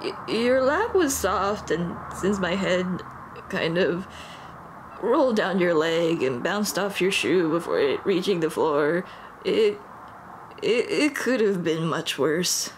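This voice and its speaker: A woman's voice: lowish voice, animated, slow, slight background noise. A woman playing a nervous, apologetic young female dullahan in an intimate ASMR roleplay, speaking hesitantly with stammers and self-deprecation. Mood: sheepish.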